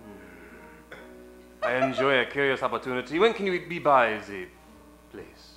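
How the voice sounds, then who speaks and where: Male actor as melodramatic radio villain, scheming and pompous, animated pitch, echoey room with music behind. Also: measured pace, natural voice. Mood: wary, haughty. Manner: melodramatic, muttering then bursting out. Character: scheming, pompous male villain who runs a burlesque diner. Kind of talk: comic old-time radio play acting.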